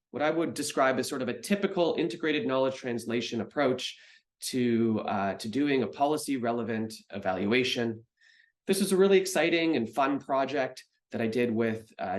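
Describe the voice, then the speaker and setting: Canadian man giving a relaxed recorded lecture. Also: medium pitch. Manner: conversational with frequent ums and uhs. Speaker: researcher giving a recorded talk. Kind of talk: presentation lecture.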